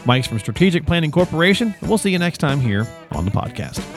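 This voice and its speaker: A man's voice: a little high, big pitch swings, fast talker, over loud music. A man hosting a podcast in a casual, chatty and folksy way, sounding a little sniffly. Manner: chatty, colloquial, folksy phrasing. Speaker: podcast host.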